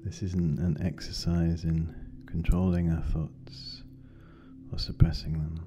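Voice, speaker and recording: A meditation guide speaking gently and unhurriedly, with long pauses, leading a guided meditation. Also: low voice, flat delivery. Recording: dull lo-fi sound, music behind. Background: meditation music.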